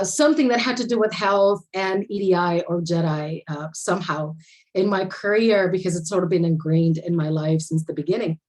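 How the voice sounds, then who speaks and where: A woman's voice: mid-range voice, rough recording. A woman from Puerto Rico, whose first language is Spanish, gives a personal presentation over a video call, with frequent restarts as she tells her story. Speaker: conference presenter. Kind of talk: remote presentation.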